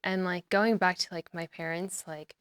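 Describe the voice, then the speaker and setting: Earnest woman with a smooth, lowish voice. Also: moderate pace, no echo, clean studio sound. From United States. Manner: relaxed and earnest, heavy use of "like", trailing off and restarting. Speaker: podcast guest, olive oil brand founder. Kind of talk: casual interview conversation.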